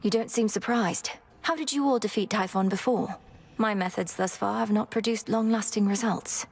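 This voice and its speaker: An actress playing a determined, earnest young princess of the underworld in a video game. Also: female voice, mid-range voice, moderate pace, slightly rough. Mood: earnest, urgent.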